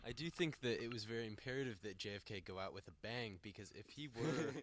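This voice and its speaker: A teenage boy guest talking in a deadpan, hesitant way in a casual chat. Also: fairly low pitch, fast, slight background noise. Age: teens. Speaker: student guest.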